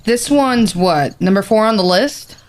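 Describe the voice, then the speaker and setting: An actress playing a bossy, teasing young woman who leads a group of explorers in an audio drama, with a brisk, dry manner. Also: mid-range voice, lively intonation, a little mellow, natural voice.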